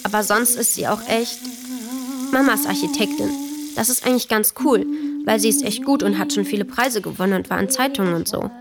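A woman's voice: fairly high pitch, fairly quick, over a noisy crowd, close to an everyday voice. A woman playing a sulky, slangy teenage girl who narrates her family life in a children's radio play. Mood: chatty, gushing. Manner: casual, grumbling youth slang. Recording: music playing behind the voice. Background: loud music.

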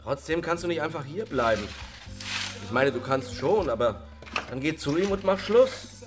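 A man's voice: fairly high pitch, lively intonation, normal speed, music in the background, natural voice. A man playing a cautious lawyer and reluctant lover in a German radio crime drama, sober and reasoning. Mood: cautious, uneasy.

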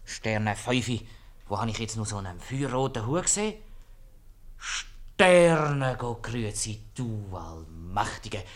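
A man playing an excitable, bumbling fellow with a Swiss German accent who exclaims and repeats himself in a 1950s radio comedy. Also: fairly low pitch, takes it slowly. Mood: puzzled, suspicious.

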